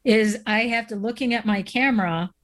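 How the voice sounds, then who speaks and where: A woman's voice: mid-range voice, a little fast, a bit bright. A woman with an Upstate New York accent, a radio co-host chatting casually, frank and playful, poking fun at herself. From Rochester, New York. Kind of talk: casual interview chat.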